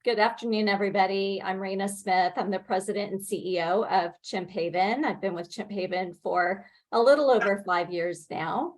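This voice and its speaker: A woman, the president of a nonprofit, introducing herself in a friendly, relaxed way on a video call. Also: mid-range voice, normal speed, hardly any pauses.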